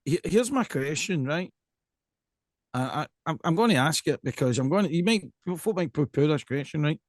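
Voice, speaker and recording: A Scottish man with a fairly high-set voice hosts a casual podcast chat, recorded dry and close. He rambles emphatically through his questions and keeps checking that he is being followed. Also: lively intonation, normal speed, no echo. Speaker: podcast host.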